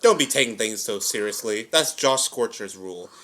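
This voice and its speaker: A man hosting a reaction video with casual, playful commentary and hammy, drawn-out asides, music playing behind him. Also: on the low side, animated, pushed and bright. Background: music and audio drama playback.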